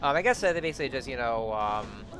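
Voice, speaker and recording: A man's voice: medium pitch, lively intonation, fast talker. A man giving casual, rambling commentary on his gameplay, full of fillers and restarts, his voice a little scratchy. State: slightly scratchy voice. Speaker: let's play commentator. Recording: game music behind voice. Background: game music.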